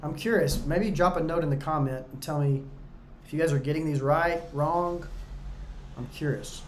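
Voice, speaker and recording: A man's voice: fairly high pitch, normal speed, slight background noise. Chatty man in an echoey room. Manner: chatty, talks straight to viewers, jokey asides. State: going hoarse. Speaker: exam prep tutor. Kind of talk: casual practice-exam walkthrough.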